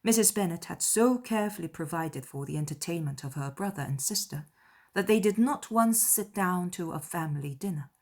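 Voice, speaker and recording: A woman's voice: on the low side, expressive, fairly quick, a little mellow. A woman reading scripted literary narration for a classic novel audiobook.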